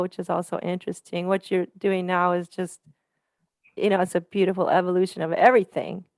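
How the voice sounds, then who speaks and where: A woman on a video call warmly thanking people, bubbly and effusive with frequent laughter. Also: medium pitch, no echo. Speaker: congregant and singer. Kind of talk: casual thank-you sharing.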